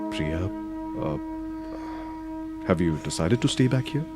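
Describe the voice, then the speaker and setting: A man's voice: low voice, lively intonation, takes it slowly, in a large hall, over loud music. An actor with an Indian English accent playing a hesitant, emotional young man in an audio drama. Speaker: young man in a radio play.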